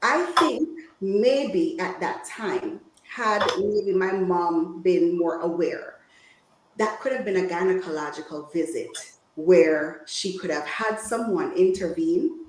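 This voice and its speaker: A woman's voice: medium pitch, animated, slow, darkish tone. A woman from Jamaica giving an informal, preacher-like health talk, engaging her listeners with questions. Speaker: guest women's health speaker. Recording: echoey room sound.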